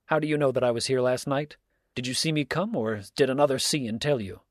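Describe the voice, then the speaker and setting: A man's voice: mid-range voice, quick pace, no room sound, clean studio sound, lightly acted. A man voicing a defensive, indignant sheriff with wounded pride in an audiobook, emphatic and protesting. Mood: uneasy, challenging. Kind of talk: audiobook character dialogue.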